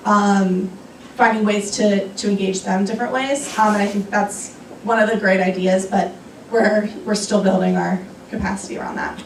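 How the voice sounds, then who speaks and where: A young woman answers questions from a lunch crowd in a thoughtful way, filling her pauses with ums. She is picked up from far off in an echoey room. A faint hum of the room sits behind her. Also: mid-range voice, steady tone. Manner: thoughtful, many ums and restarts. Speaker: guest speaker on a young activists' group. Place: restaurant meeting room. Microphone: room recording from a distance.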